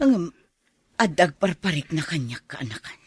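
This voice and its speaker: An aging Ilocano aunt in a radio drama. Her voice sits low and husky, recorded close and dry. She speaks as a lonely single woman seeking an old flame. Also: expressive, moderate pace, no room sound, heavily acted. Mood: puzzled. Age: older.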